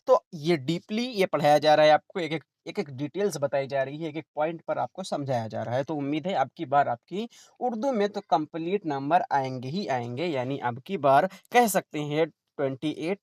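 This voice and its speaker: A man's voice: on the high side, a little mellow, no echo. A man teaching an online exam-prep class. He keeps asking a question and answering it himself. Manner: repeats questions then answers them himself.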